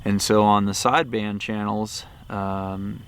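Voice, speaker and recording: A man's voice: on the low side, fairly even intonation, takes it slowly. An American man giving a casual, unscripted gear review in a rambling, matter-of-fact way, recorded on a handheld camera. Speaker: presenter of gear review videos. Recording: clear background noise, handheld camera audio.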